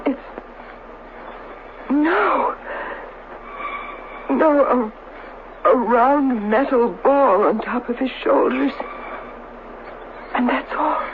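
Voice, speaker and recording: A woman's voice: sits high, very slow, long pauses, narrow, tinny audio. Anxious lady in old radio drama. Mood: horrified. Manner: hesitant, dramatic. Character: frightened, pleading woman horrified by a monster. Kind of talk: 1970s radio drama acting. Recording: noisy, thin 1970s radio broadcast.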